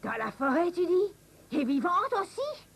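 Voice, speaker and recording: A woman's voice: extremely high pitch, very animated, normal speed. A woman playing a vain, imperious and threatening evil queen in a dubbed children's cartoon. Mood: menacing. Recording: voice over clear background music.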